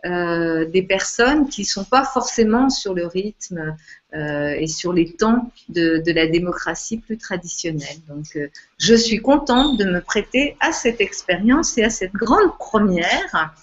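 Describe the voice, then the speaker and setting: A woman's voice: mid-range voice, expressive, unhurried. A woman who is a political candidate, speaking hesitantly with many fillers in a live video chat. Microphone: video call. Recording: dull lo-fi video call audio.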